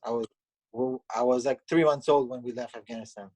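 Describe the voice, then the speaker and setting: A man from Canada, a pizzeria owner, answering interview questions in a halting way with many fillers. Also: medium pitch, steady tone, a little mellow, rough recording.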